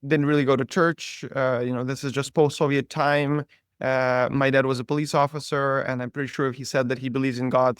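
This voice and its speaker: Ukrainian-accented priest with a smooth voice. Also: male voice, mid-range voice, no room sound, clean studio sound. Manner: conversational, frequent fillers and restarts. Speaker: priest, interview guest. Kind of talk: relaxed personal storytelling in an interview.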